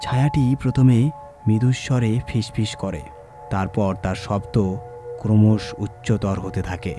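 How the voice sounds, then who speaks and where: A man narrating a scripted horror story in a measured, suspenseful, dramatic storytelling manner. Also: fairly low pitch, fairly even intonation. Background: music.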